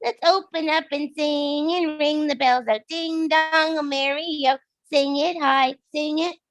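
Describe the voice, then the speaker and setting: Woman voicing small cheerful munchkin for a storybook musical: childlike very high pitch, slow singsong chant. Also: steady tone. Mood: celebratory. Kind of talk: musical lyrics read out in singsong.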